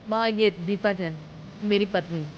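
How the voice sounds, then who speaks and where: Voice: mid-range voice, steady tone, a little slow, lightly acted. A performer voicing a stubborn young adult son, insistent and unapologetic, in story dialogue. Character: stubborn young adult son defending his unconventional marriage. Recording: dull lo-fi audio, slight noise.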